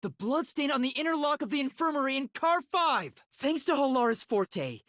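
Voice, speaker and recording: A man's voice: very high-pitched, sing-song, normal speed, fairly bright tone, exaggerated voice. A man voicing a timid, earnest young detective in an English video game, light and boyish, hesitantly thinking aloud. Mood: urgent, insistent.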